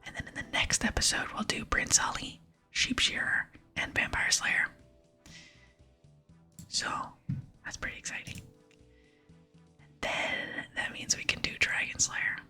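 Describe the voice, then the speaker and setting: A woman whispering softly and unhurriedly close to the microphone as she hosts an ASMR gaming playthrough. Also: a little slow, long pauses. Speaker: gaming video host. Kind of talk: whispered ASMR game playthrough with reading aloud. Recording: close whisper with music behind.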